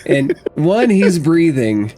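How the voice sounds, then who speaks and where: A man's voice: on the high side, big pitch swings, slow, over a noisy crowd. A man narrating a tabletop game casually as game master.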